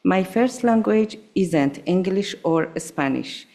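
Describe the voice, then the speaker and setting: A woman whose first language is Farsi, reading a prepared thank-you speech at a school board meeting with careful, measured delivery. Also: on the low side, animated, gravelly, warm tone. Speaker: adult school student speaker. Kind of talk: prepared speech read aloud.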